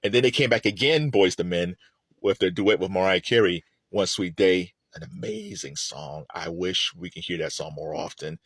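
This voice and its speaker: A man's voice: low voice, moderate pace, husky, dry recording. A middle-aged man giving casual, opinionated music commentary in a conversational way, repeating phrases for emphasis.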